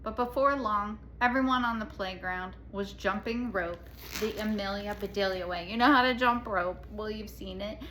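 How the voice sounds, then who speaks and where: A woman's voice: medium pitch, normal speed, few pauses, fairly bright tone, a little hiss or hum. A woman reading a storybook aloud to children, expressively, with explanatory asides and questions to the listeners.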